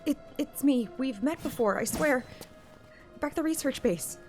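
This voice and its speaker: A woman's voice: high-pitched, animated, normal speed, pitched above the performer's own voice. A woman voicing an earnest, hesitant young female hunter, the plucky heroine of a game story. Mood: flustered, pleading. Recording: loud music behind.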